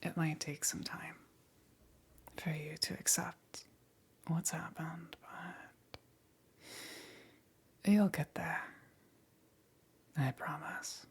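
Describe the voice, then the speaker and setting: A woman playing a powerful demon queen, gentle yet calmly menacing, in a breathy whispered ASMR roleplay with long pauses. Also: low voice, full character voice. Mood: gentle, reassuring. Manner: slow, soft, coaxing, with long pauses.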